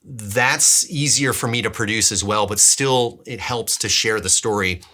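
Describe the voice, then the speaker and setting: A man with a slightly low, bright and forward voice hosts a casual devlog. He thinks aloud in a rambling, informal way, doubling back on words as he explains himself to viewers. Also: a little slow. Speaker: DIY filmmaker and vlog host. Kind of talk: casual devlog talk to camera.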